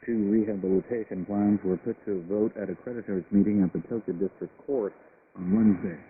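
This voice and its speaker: A man's voice: on the low side, steady tone, fairly quick. A man reading scripted international news in a formal broadcast style. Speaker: radio news reader. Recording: scratchy narrowband shortwave radio, heavy static. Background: shortwave radio static.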